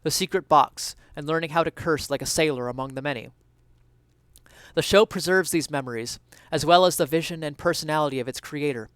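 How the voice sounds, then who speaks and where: A man with a slightly high, clean and resonant voice performs an essay as a dramatic reading. His phrasing is earnest, deliberate and theatrical. The recording is close and dry. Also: a bit bright, smooth, dry recording. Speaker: reader of an essay.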